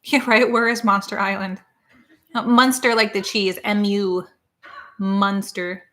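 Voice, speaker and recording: A woman co-hosts a casual live stream, chatting loosely and rambling between viewer comments. Her voice is bright and forward, with an everyday pitch for a woman. She drifts off topic and spells words out letter by letter. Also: fairly even intonation, measured pace. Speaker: co-host of a live watch party stream.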